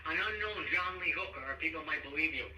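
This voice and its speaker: A man with an American accent chatting casually and a little haltingly over a phone line. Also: fairly high pitch, background noise. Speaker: phone call recipient. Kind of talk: casual chat. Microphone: phone call. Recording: distant, echoey, radio-like phone line.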